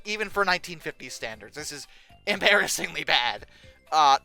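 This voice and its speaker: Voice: mid-range voice, pushed and bright, clean tone, no room sound. A sarcastic, exasperated host doing comedic reaction commentary, given to mock outrage. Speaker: host of a paleontology commentary show. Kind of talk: comedic reaction commentary, reading aloud and critiquing.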